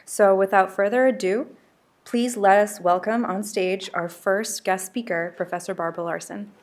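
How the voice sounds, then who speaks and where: A woman's voice: mid-range voice, steady tone, normal speed, a little hiss or hum. Young woman, student host, formal read-aloud introduction, careful, podium mic. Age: young adult. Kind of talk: reading an introduction. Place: conference hall, at a podium. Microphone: podium microphone.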